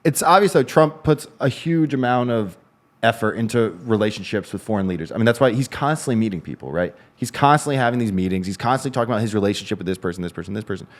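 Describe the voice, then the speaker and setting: A man co-hosting a casual news discussion show, talking in quick rambling bursts with frequent restarts. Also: lowish voice, lively intonation. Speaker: co-host.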